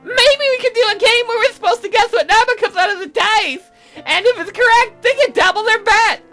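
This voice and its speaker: A man voicing a shy, sweet schoolgirl classmate in an eager, squeaky way for a visual novel. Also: a little fast, pushed and bright, faint music behind, heavily acted.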